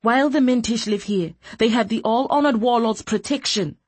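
A woman's voice: mid-range voice, moderate pace, a little mellow, husky, dry recording. A woman voicing a brave, protective woman in an audiobook, with firm, formal and earnest speech. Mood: defiant. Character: bold, protective woman defying armed soldiers.

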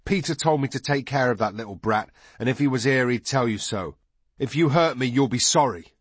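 A man voicing a beaten bully boy in an audiobook story, defiant, with rough, unschooled speech. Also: mid-range voice, expressive, brisk, no echo, voice pushed up. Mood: threatening. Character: battered, defiant bully boy, sneering then desperate.

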